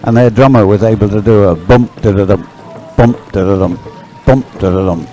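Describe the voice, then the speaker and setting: Male sports commentator, low register, rambling and hesitant live delivery over clearly audible music at an outdoor event. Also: normal speed, warm tone. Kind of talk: live unscripted race commentary, chatty.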